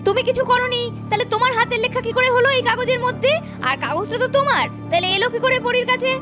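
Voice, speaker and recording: A woman tells a cartoon story in a very high voice and at a brisk pace, voicing several characters and scolding sharply. Music plays steadily under her voice. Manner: scolding, shifts between narration and character voices.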